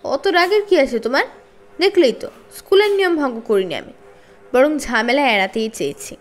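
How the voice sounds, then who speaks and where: Woman playing an earnest high-voiced schoolgirl. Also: very animated, normal speed, soft background music. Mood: indignant. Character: earnest, principled schoolgirl heroine.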